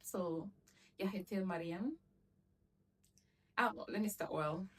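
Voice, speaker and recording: A woman's voice: medium pitch, takes it slowly, a bit bright, slightly rough, distant mic. A young woman chatting casually to camera as a vlogger, laughing often and filling her talk with "like".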